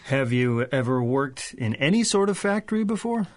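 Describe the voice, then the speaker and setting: An actor playing an irritable, slangy sidekick to a small-time swindler in a 1930s-style radio drama. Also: male voice, medium pitch, sing-song, normal speed, lightly acted. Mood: businesslike. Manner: blunt, slangy.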